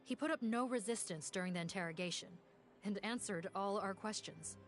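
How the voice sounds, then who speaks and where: A woman playing a dutiful, respectful police officer in a video game, with measured, explanatory delivery. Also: mid-range voice, soft background music, close to an everyday voice. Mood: businesslike.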